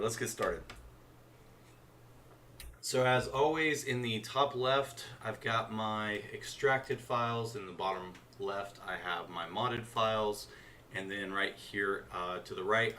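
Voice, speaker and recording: A man's voice: deep voice, distant mic. A man with a congested, scratchy throat, casually talking through his game modding work on a stream with many fillers. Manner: relaxed, rambling, many uh fillers. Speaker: game modder. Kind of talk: casual screen-share dev stream.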